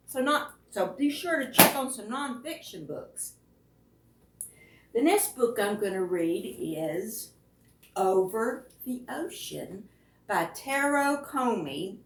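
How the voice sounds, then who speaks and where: An unhurried woman with a highish, lively voice reads picture books to small children. She often turns to them with questions, expressive throughout, as a children's librarian does at storytime. The sound is echoey and set back from the microphone. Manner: expressive, addresses young listeners with questions. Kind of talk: picture-book read-aloud for preschoolers. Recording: roomy echo, distant microphone.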